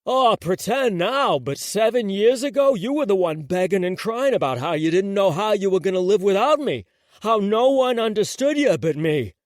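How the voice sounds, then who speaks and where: A bitter young ex who throws accusations, his voice pushed high, voiced by a man. Close and dry. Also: animated, moderate pace, no room sound.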